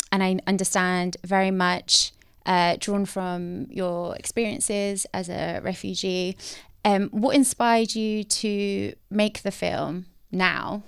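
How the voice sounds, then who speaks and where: A woman's voice: medium pitch, clean tone, no room sound, studio quality. A woman playwright from London moderating a post-screening Q&A, gracious and effusive, with frequent ums. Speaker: playwright hosting a Q&A. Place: cinema auditorium.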